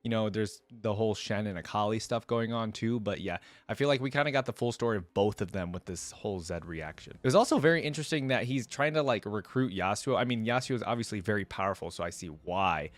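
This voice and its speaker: A man's voice: fairly low pitch, quick pace, few pauses, no room sound. A man hosting a reaction video, talking casually to viewers in a rambling, conversational way as he mulls things over.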